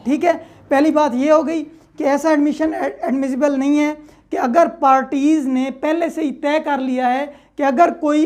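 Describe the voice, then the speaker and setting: A woman's voice: sits high, darkish tone. A woman with a Pakistani accent explaining like a lecturer, informally and didactically, repeating points and checking in as she goes. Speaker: law lecturer.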